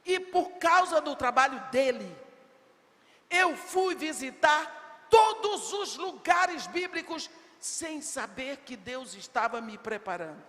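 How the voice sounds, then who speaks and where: An older woman delivers a sermon at a slow, deliberate pace, leaning on rhetorical questions and rising to shouts. Her voice rings through a big church hall with a long reverb tail. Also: high voice. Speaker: preacher at women's congress.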